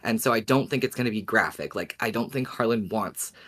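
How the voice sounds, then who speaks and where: Woman co-host with a deeper speaking voice. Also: fairly quick, fairly bright tone. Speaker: podcast co-host. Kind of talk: casual discussion of a fiction podcast.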